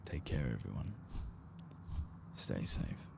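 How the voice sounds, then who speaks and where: A man giving hushed, soft-spoken ASMR commentary as he plays through a game, at times close to a whisper. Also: extremely low pitch, big pitch swings, slow. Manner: hushed, sometimes slipping into whisper. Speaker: streamer hosting a game playthrough. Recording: clear background noise and game ambience.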